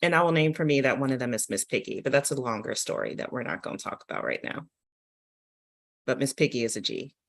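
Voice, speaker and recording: A woman's voice: a bass voice, lively intonation, fast. A woman hosting a panel, talking informally and addressing the group directly in a casual discussion style. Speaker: host and author leading a panel discussion.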